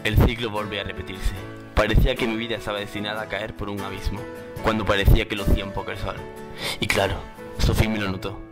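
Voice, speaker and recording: Casting: young man as a troubled student, low voice, quick delivery over loud music. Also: little pitch movement, close to an everyday voice. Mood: despairing. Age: young adult. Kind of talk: scripted voice-over narration.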